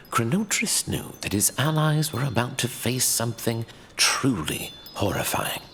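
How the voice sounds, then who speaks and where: Guy acting a wise old archivist telling fantasy legends, slow dramatic delivery, faint ambience behind. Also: lowish voice, fairly bright tone, slight background noise, heavily acted. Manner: grave, theatrical, measured. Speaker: narrator.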